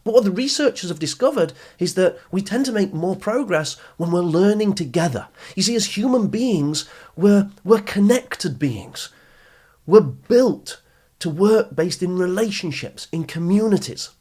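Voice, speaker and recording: Higher-pitched husky man, encouraging podcast coach. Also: animated, unhurried, a little mellow. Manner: encouraging, instructive, addresses listener directly. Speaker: podcast host and coach for teenagers. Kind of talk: motivational educational podcast monologue.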